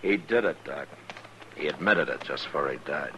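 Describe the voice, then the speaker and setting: An actor playing a weary, watchful, lonely US Marshal in an old-time Western radio drama, terse and grave. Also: male voice, very deep voice, animated, moderate pace, pushed and bright, full character voice. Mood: matter-of-fact. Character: weary, watchful, lonely frontier US Marshal, plain-spoken man. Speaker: lead actor and opening narrator. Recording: noisy vintage radio recording.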